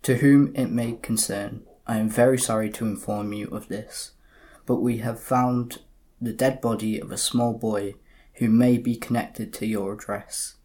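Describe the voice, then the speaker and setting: A man's voice: mid-range voice, steady tone, mellow, close to an everyday voice. A composed police inspector, acted by a man in an amateur audio drama. He speaks formally and evenly in a mid-range voice, with little rise or fall. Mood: sober, formal. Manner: measured, formal. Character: calm, sober male police detective inspector. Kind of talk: community audio drama narration and dialogue.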